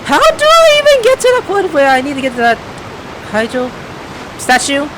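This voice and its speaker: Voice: high voice, huge pitch swings, normal speed, very noisy. A gamer giving casual, chatty commentary, muttering along to the game and its characters. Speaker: game streamer.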